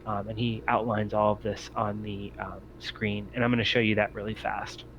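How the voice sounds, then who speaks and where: Halting low-voiced male game master. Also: flat delivery, gravelly, fairly bright tone, muffled recording, slight background noise. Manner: halting, hesitant delivery full of long ums and pauses. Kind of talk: narrating a tabletop roleplaying game, improvised.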